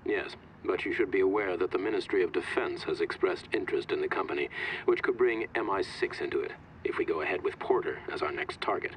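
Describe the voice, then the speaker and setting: An actor playing a cautious male adviser in a criminal organisation in a video game, formal and measured. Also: low voice, a little hiss or hum, lightly acted, low-quality recording. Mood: cautious, serious. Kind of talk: cinematic video game acting.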